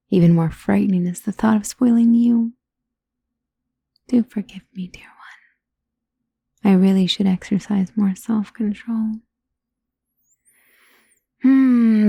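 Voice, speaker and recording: A woman performs an ancient female vampire who dotes possessively on the listener in an ASMR roleplay. The voice is husky and breathy with a gravelly creak, often falling to a whisper. The delivery is genteel and gently teasing, recorded very dry and intimate. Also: mid-range voice, no echo, exaggerated voice. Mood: apologetic, wistful. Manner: slow, tender, teasing, genteel endearments.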